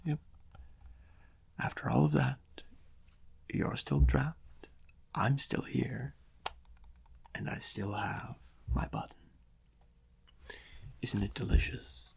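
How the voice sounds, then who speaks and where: Man playing a husky, creaky-voiced gloating villain. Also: mid-range voice, a little mellow, full character voice. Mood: smug, playful. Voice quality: breathy, husky, often near whispering. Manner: pompous, drawn-out, mock-polite musing with florid wording. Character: gloating, theatrical criminal mastermind villain, supremely confident yet cautious. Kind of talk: soft-spoken ASMR villain roleplay.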